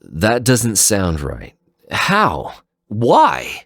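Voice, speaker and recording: A man voicing an amnesiac android warrior who sounds bewildered, gentle and sincere, hesitant and wondering, in a dramatic fan fiction reading. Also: on the low side, wildly swooping pitch, extremely slow, no room sound, studio quality, pitched above the performer's own voice, exaggerated voice. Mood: puzzled.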